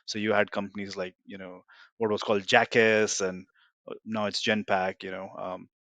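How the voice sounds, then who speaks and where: A man in his forties from India talks with an Indian accent in a low voice. He rambles along in a friendly, self-deprecating way, full of "um" and "you know". The sound is dry and close, with no room around it. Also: level tone, moderate pace, a bit bright, no echo. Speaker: guest, tech company founder. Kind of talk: casual interview talk.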